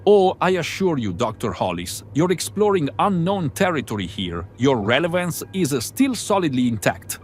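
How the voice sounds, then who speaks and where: A man's voice: fairly high pitch, big pitch swings, normal speed, few pauses. A man playing a precise, reassuring, science-minded crew member in a fan audio drama. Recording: faint background noise.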